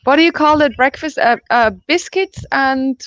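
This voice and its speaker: A woman's voice: sits high, moderate pace, a bit bright, no room sound, muffled recording. A woman speaking English as a second language, chatting as an interview guest, often searching for words and laughing. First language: not English. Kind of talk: casual interview chat.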